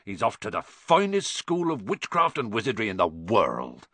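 A man doing a gruff bearded giant. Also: huge pitch swings, normal speed, fairly bright tone, no echo, full character voice. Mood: scornful. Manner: rough folksy speech, booming when angry. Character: huge bearded gruff gentle male giant.

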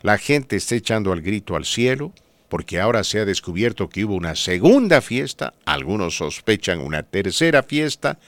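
Male talk-show host, low voice with very lively swings, emphatic and rhetorical conversational commentary, dry close sound. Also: moderate pace, no echo. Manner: emphatic, rhetorical, asks listeners tag questions. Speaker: radio podcast host.

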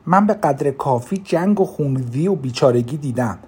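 A man's voice: medium pitch, sing-song, mellow, breathy edge. A man voicing a defiant young woman archer who speaks fiercely and commandingly, in an audiobook. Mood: angry. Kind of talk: audiobook character reading.

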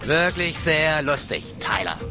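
Male actor as insistent debt collector, high-pitched, animated, sarcastic, audio drama, music behind. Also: takes it slowly. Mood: irritated demanding. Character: pushy, money-demanding male police colleague Jeffrey. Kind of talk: audio drama acting of a video game story. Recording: music under the voice.

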